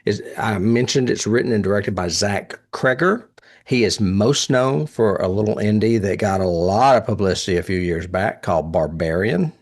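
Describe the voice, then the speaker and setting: A man's voice: lowish voice, normal speed. A man hosting a casual film review chat, speaking with enthusiasm and often chuckling. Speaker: podcast host reviewing horror films.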